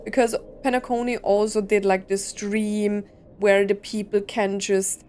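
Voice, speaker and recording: A woman's voice: medium pitch, level tone, unhurried, a little mellow, clean tone. A woman gaming streamer giving casual reaction commentary, thinking aloud as she goes. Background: game music.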